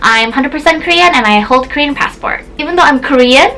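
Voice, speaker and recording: A chatty young woman, a native Indonesian speaker raised in Indonesia, talking to camera in a bubbly, filler-heavy way. Her voice sits a little high, with a faint hiss of room noise behind her. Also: fairly quick, sharp tone, rough recording. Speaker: beauty vlogger. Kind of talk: casual chat to camera.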